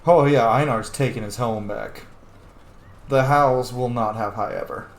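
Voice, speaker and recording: A man's voice: medium pitch, measured pace. A man giving casual let's play commentary, with muttered asides to himself and casual swearing. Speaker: host of a game roleplay video series. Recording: roomy sound with faint background noise.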